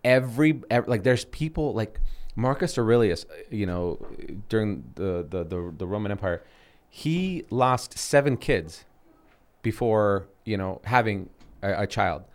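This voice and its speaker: Low-voiced rambling man in his thirties. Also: animated, unhurried. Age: 30s. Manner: rambling, many restarts and fillers. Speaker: podcast co-host. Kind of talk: casual chat.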